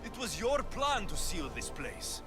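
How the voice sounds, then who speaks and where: A man's voice: high-pitched, huge pitch swings, a little slow, over music, heavily acted. A man playing a firm, level-headed young warrior in a video game, speaking with earnest reasoning. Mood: firm, insistent. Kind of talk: cinematic video game voice acting.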